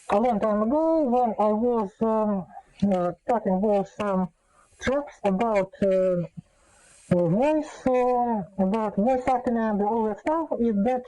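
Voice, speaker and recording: A woman talking casually and unscripted as a video host, explaining things in a halting way with frequent filled pauses. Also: a little high, dark tone, poor audio.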